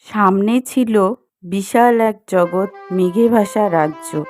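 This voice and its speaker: Slow-paced woman narrating a fairy tale. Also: medium pitch, darkish tone. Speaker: storyteller, narrator. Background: music.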